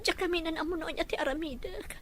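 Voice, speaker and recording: A woman's voice: extremely high pitch, quick pace, fairly bright tone, slight background noise. A woman playing an anguished young mother of a sick child in an Ilocano audio drama, tearful and pleading. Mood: weak, downcast.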